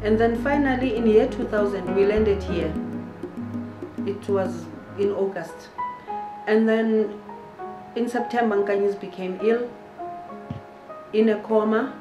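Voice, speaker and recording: A middle-aged woman with a South African accent, telling her personal story in a deliberate, pausing manner in an interview. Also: mid-range voice, lo-fi sound. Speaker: founder and head of a children's care centre. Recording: roomy echo with guitar music.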